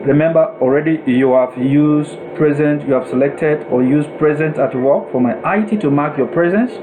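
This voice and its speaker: A man narrating a step-by-step software tutorial in a deliberate, instructional way, repeating and rephrasing himself as he goes. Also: fairly high pitch, noisy background.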